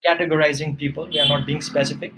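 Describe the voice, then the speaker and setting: A man's voice: fairly high pitch, level tone, fast, noisy background. A man working as an English tutor, patiently giving corrective grammar feedback in a one-on-one session.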